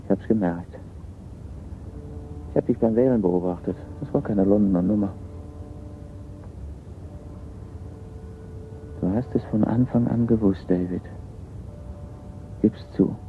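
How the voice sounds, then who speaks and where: An actor playing a resigned art forger on the run in a radio crime drama, terse and subdued. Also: male voice, lowish voice, moderate pace, pauses often, close to an everyday voice. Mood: guarded. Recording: tinny band-limited radio recording with hiss.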